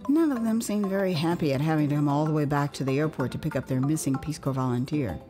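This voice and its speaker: An American woman narrating a dramatised memoir in a theatrical, expressive storytelling manner. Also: deep voice, fast, faint music behind. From United States, Houston. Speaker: author and storyteller.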